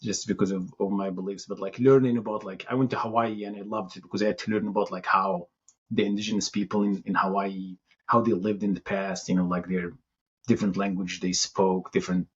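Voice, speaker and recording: Husky-voiced Moroccan-born man, thinking aloud. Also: lowish voice, steady tone, fairly quick, lo-fi sound. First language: Tamazight. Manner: thoughtful, restarts phrases often. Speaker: city information security chief, guest. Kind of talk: casual interview answers.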